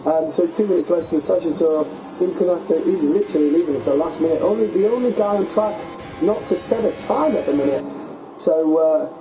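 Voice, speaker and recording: A man losing his voice, calling a sim race as lead commentator in a lively, chatty play-by-play. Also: high-pitched, noisy background.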